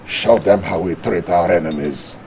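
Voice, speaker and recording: A man's voice: deep voice, moderate pace, a little mellow, slight background noise. A man playing a jovial, dithering older Soviet premier with a Russian accent, theatrical and hesitant, in a video game cutscene. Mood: hesitant, grave.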